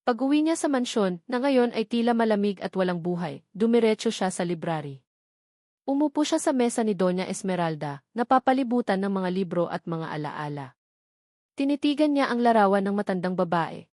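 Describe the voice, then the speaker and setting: A Filipino woman narrates a scripted drama with measured, emotive phrasing. Her voice sits at a middle pitch for a woman. The sound is very dry, with hardly any room around it. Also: animated, darkish tone, dry recording. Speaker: story narrator.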